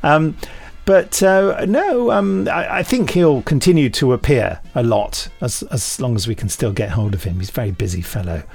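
Male podcast host, British, mid-pitched with some fry, hesitant joking delivery in casual chat. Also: lively intonation, moderate pace, few pauses, a little mellow. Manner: jokey, hesitant, rambling answers.

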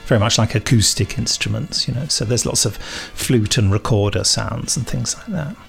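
Wry podcast host, slightly creaky voice. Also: male voice, on the low side, moderate pace, soft background music. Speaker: podcast host, audio drama director and composer. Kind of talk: casual podcast chat, reading listener emails.

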